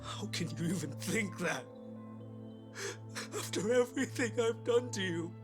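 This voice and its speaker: A man's voice: sits high, expressive, unhurried. An actor playing an agitated, defensive young man in a video game cutscene, edgy and informal. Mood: distraught, defensive. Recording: loud music behind the voice.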